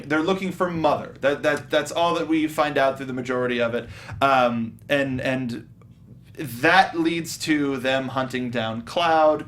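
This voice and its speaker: Guy hosting a movie chat, geeky and halting, rumbly noise behind him. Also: fairly bright tone. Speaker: host of a film review show. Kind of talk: casual panel discussion.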